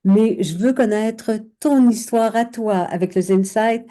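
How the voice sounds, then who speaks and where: A woman hosts a casual interview in a very dark, mellow voice. She frames her questions loosely and hesitantly, with many fillers as she goes. Her tone is friendly and unhurried. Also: fairly high pitch. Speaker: interviewer, host.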